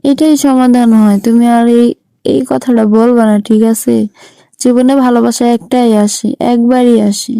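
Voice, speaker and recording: A woman's voice: on the high side, flat delivery, normal speed, creaky, warm tone, clean tone, dry recording. A woman playing a heartbroken young woman who reproaches her lover in colloquial Bangladeshi Bengali on an emotional phone-call drama. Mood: bitter. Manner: reproachful, plaintive.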